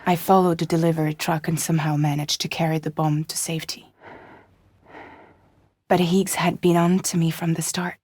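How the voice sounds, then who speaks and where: An actress playing a guarded, wry woman courier in a post-apocalyptic video game, with soft, breathy, measured and deadpan delivery. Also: low voice, no room sound, clean studio sound. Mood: grim recounting. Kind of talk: cinematic video game acting.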